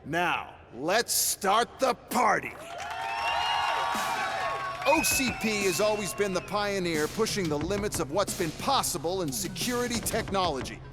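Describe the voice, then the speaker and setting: An actor playing a smug, flamboyant corporate executive in a game cutscene, sarcastic, showy and condescending. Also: male voice, high voice, animated, measured pace, over music, a crowd in the background. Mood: showy salesmanship. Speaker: executive and product presenter. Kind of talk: theatrical video game cutscene acting.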